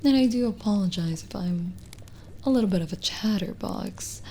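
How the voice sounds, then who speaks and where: A woman playing a lonely, kindly witch in an intimate ASMR roleplay, speaking softly and breathily with a gentle teasing manner, often near a whisper. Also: mid-range voice, lively intonation, a little hiss or hum, lightly acted. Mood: soft, flirtatious. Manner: slow, hesitant, gently teasing.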